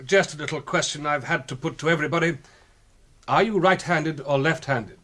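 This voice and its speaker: An actor playing a polite, probing British gentleman detective in a radio drama, clipped, courteous and dry. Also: a little high, brisk.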